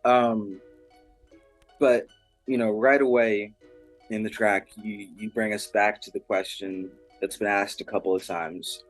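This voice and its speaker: A man working as an interviewer, asking long, earnest questions in a measured conversational way. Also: on the low side, steady tone, faint music behind.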